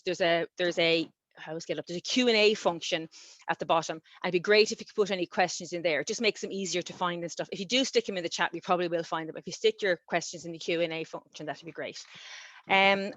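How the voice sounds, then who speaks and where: A woman's voice: on the low side, fairly bright tone, dry recording. An Irish woman from Wexford hosts an online meeting from her kitchen, talking in a chatty, rambling way with lots of ums. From Wexford, Ireland. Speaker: meeting host. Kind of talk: casual online meeting introduction. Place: home kitchen. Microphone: video call. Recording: dull dry video-call audio.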